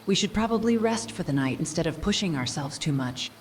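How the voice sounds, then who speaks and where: A woman's voice: sits low, expressive, fairly quick, slight background noise. A woman playing a cheerful, eager young female adventurer in a scripted fantasy audio drama. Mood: agreeable.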